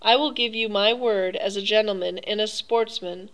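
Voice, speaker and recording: A woman reading a story aloud, voicing a suave and cruel aristocratic male Cossack hunter with silky, courteous, drawn-out phrasing. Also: medium pitch, steady tone, fairly bright tone, lightly acted, low-quality recording. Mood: enthusiastic.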